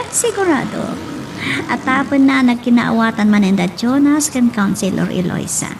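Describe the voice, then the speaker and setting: Woman as excitable young romantic, Ilocano accent, audio drama, very lively high voice, faint background noise. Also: normal speed. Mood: excited. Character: excitable, lovestruck young woman confiding in a friend.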